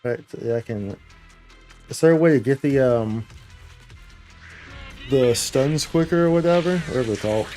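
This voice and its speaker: A man's voice: mid-range voice, moderate pace, pauses often, mellow. A man giving casual livestream gameplay commentary, talking informally to his viewers. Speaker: game streamer, host. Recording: game music behind voice. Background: video game music.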